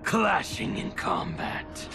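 An actor playing a gleeful, menacing, bloodthirsty agent of chaos in a video game, with savoring, mocking, theatrical delivery. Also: male voice, slow pace, close to an everyday voice. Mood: gloating. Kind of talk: dramatic video game villain acting. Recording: music under the voice.

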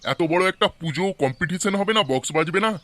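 A man's voice: sits high, animated, fast, pushed and bright, slight background noise. A man with a West Bengali accent voicing a nagging, mocking older village uncle in an amateur comic cartoon, sarcastic and needling.

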